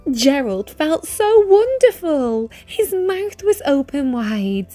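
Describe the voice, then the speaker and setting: Female picture-book narrator: expressive, lilting, slow-paced, very lively intonation, faint music underneath. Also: high-pitched, no room sound. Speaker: children's story reader.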